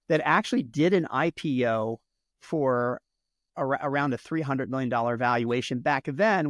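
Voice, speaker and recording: A man's voice: mid-range voice, no room sound, clean studio sound. Upbeat male podcast host. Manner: upbeat, reading an introduction. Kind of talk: podcast interview intro.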